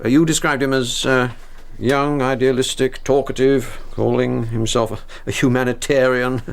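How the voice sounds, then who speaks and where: An actor playing a dry, patient British intelligence interrogator in a radio drama. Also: male voice, mid-range voice, flat delivery, moderate pace, gravelly, close to an everyday voice. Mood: probing.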